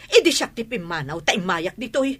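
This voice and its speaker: A woman's voice: mid-range voice, very animated, a little fast, fairly bright tone. A woman with an Ilocano accent playing a stubborn, aggrieved grown daughter and wife in an audio drama. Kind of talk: Ilocano radio drama acting.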